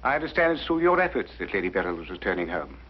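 A man's voice: low voice, sing-song, rapid-fire, slightly rough, full character voice. An actor playing a fussy, deferential male secretary, careful and obsequious, in a 1950s mystery drama. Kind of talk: 1950s television mystery acting. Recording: dull, muffled 1950s soundtrack.

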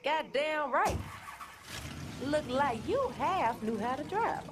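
A woman's voice: high-pitched, expressive, slow, noisy background. A woman playing a bold, cocky young rebel driver in a video game, brash and swaggering, with an American accent. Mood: cocky banter. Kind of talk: video game cutscene acting. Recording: distant game audio with music.

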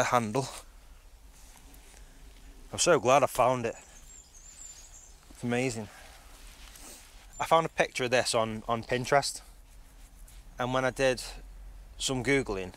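A man's voice: medium pitch, fairly bright tone. A man, chatting casually and thinking aloud as he explores outdoors, recorded on a phone held at arm's length. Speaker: vlogger. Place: outdoors in a forest.

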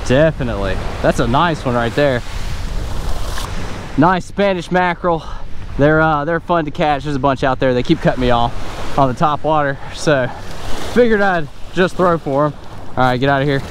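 Young man, fishing vlogger, fairly high voice, chatty self-narration on a beach, clear wave noise behind. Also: normal speed, long pauses. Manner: excited, talking to himself between casts. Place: open beach at the surf line, outdoors. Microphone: wearable action camera. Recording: outdoor wind and surf noise. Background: surf and waves.

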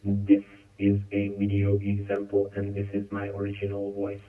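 A man's voice runs through a robot filter on a completely flat note. It is drenched in echo and has a thin, phone-like band. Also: fairly low pitch, normal speed. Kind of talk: test recording for an effect demo. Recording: very echoey, tinny phone-like robot processing.

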